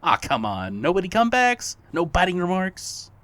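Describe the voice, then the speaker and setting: Audio drama role for an actor: mischievous non-human companion, chuckling and needling, mid-pitched with very animated delivery. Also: normal speed, no room sound. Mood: teasing. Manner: teasing, chuckling, exaggerated. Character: playful petty non-human best friend, teasing and theatrical.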